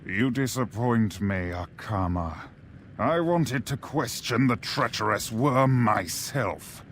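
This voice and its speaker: Slow, imperious demon lord. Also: male voice, medium pitch, fairly bright tone, a little hiss or hum. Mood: menacing, displeased. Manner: slow, commanding, scornful. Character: menacing, imperious male demon lord, suspicious of underlings. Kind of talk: fantasy video game voice acting.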